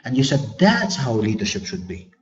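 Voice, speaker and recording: A man's voice: medium pitch, huge pitch swings, a little fast, dull audio. A man whose first language is Arabic, giving a webinar lecture on leadership as a corporate trainer, earnest and fond of rhetorical questions and repeated phrases.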